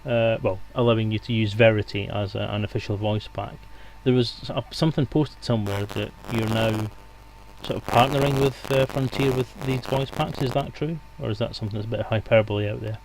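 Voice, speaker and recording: A man's voice: fairly low pitch, steady tone, fairly quick, a little mellow, slight background noise, low-quality recording. A man asking casual interview questions on a stream in a tentative, roundabout way.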